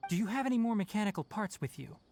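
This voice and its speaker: A man playing a brisk young forest ranger in a video game, blunt, analytical and articulate. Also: sits high, expressive, darkish tone, faint music behind. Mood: thoughtful, analytical. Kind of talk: video game character acting.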